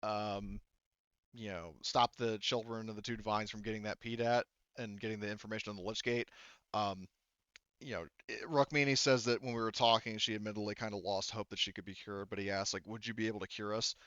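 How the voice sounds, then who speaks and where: A man narrating improvised tabletop roleplay as game master, halting and conversational, on slightly dull, dry audio. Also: fairly low pitch, fairly even intonation, a bit bright, smooth, no echo.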